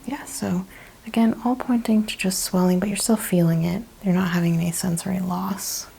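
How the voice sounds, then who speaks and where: A woman speaking softly, often in a breathy whisper, gently and carefully explaining each step as a chiropractor in an ASMR exam roleplay. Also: lowish voice, fairly even intonation, moderate pace, slight background noise. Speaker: ASMR host playing a chiropractor examining a patient.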